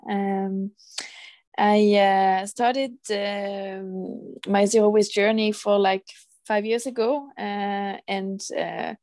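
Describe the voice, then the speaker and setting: A woman from France, a podcast guest who speaks thoughtfully and pauses to find her words. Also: medium pitch, steady tone, darkish tone, no echo, clean studio sound. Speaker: guest, zero waste association founder. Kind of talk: podcast interview.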